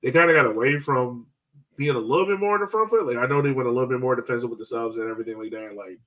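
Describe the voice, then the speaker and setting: A man's voice: mid-range voice, racing, fairly bright tone. A man co-hosting a podcast in casual sports chat, speaking in a loose, informal way full of filler words. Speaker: podcast co-host. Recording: distant from the microphone.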